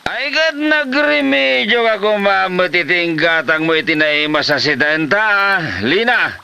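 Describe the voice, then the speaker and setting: A man's voice: high-pitched, lively intonation, measured pace, creaky, pushed and bright, smooth, exaggerated voice. A man playing a lazy, boastful, hard-drinking husband in a comedy drama, dismissive and cheerfully defiant. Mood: defensive, flippant. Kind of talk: Ilocano comedy drama acting.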